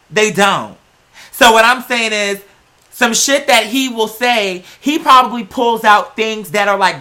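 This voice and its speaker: An online creator with a bright, forceful, mid-pitched voice ranting casually to viewers. The delivery is sassy and emphatic, full of slang and swearing. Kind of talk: casual opinionated rant to viewers.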